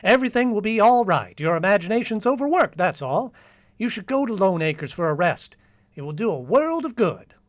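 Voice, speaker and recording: A man's voice: high-pitched, big pitch swings, moderate pace. An actor playing an amused, dismissive man friend in old-time radio drama style, scoffing and patronisingly soothing. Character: dismissive, amused male friend and former rival in love.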